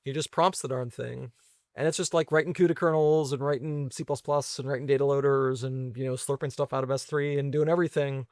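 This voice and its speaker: A man's voice: medium pitch, fairly even intonation, fairly quick, darkish tone, no echo, clean studio sound. A man talking casually through technical ideas as an interview guest, reasoning aloud with hedges and tag questions. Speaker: guest, venture investor and software engineer.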